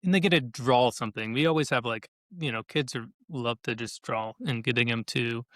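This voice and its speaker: A man's voice: mid-range voice, brisk, fairly bright tone, no echo, studio quality. A man answering as a podcast guest in a conversational way, often acting out little quotes as he explains. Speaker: podcast guest, educational activity creator.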